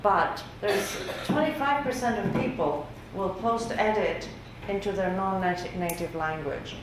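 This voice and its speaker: A woman's voice: medium pitch, a little slow. A woman whose first language is Greek, giving a workshop lecture on survey results in a casual, conversational way with many fillers. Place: university lecture room. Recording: very echoey room with faint noise.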